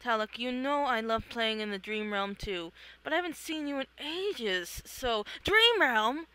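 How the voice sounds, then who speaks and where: A performer voicing a calm, playful woman who wanders dreams in a comic read-along. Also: mid-range voice, unhurried, clean tone, rough recording. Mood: friendly.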